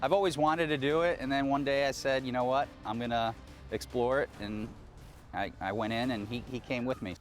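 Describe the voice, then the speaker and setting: Relaxed man firefighter, halting. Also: mid-range voice. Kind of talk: interview testimonial. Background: soft music.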